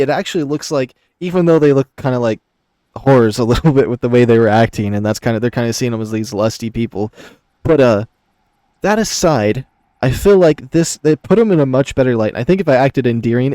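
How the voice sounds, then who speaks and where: A man's voice: medium pitch, brisk, warm tone, no room sound. A man narrating a visual novel and commenting on it casually as a gaming video host.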